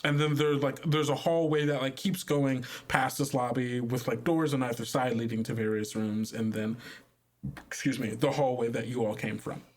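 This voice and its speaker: A man's voice: mid-range voice, fairly even intonation, moderate pace. A man improvising casual tabletop game narration as game master, rambling and repeating himself with amusement.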